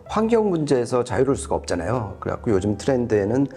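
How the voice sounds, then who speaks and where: Mellow-voiced man explaining audio gear. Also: mid-range voice, very animated, fast talker. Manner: explains step by step, rephrasing as they go. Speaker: audio reviewer and co-host. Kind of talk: explanatory product review talk.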